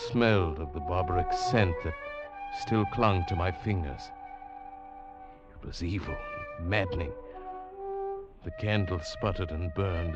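Casting: deep-voiced haunted male traveler, intense first-person radio thriller narration, dull vintage recording with prominent music. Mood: haunted, brooding. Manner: intense, hesitant, dramatic. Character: haunted, bewildered male English traveler telling his eerie tale.

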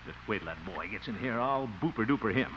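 A man's voice: on the low side, animated, fast talker, lightly acted. An actor playing a blustery, indulgent middle-aged American man in a 1950s radio comedy. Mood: exasperated. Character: blustery, indulgent, easily flustered grown man living with his mother. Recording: noisy, muffled old radio recording.

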